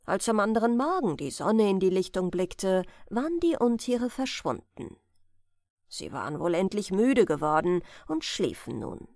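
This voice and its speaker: A woman reading a fairy tale as a bedtime audiobook, in an expressive, measured storytelling manner. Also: mid-range voice, no room sound, clean studio sound. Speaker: audiobook reader.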